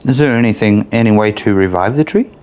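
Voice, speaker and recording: A man's voice: lowish voice, fairly quick, darkish tone, clear, resonant tone. A man giving casual commentary as he plays and reviews a video game. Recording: faint background noise. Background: game ambience.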